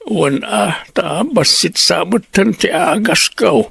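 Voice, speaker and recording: A woman's voice: on the low side, expressive, takes it slowly, vocal fry, fairly bright tone, breathy edge, dry recording, exaggerated voice. A woman acting a concerned, plain-spoken family member in an Ilocano radio drama. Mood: earnest.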